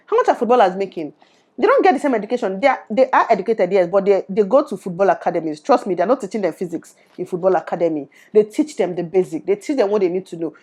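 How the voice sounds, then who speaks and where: Brief: young Nigerian woman, Nigerian accent, rapid animated vlog delivery, mid-pitched. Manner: animated, switches into Pidgin. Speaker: YouTuber. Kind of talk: opinionated to-camera vlog. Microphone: lapel.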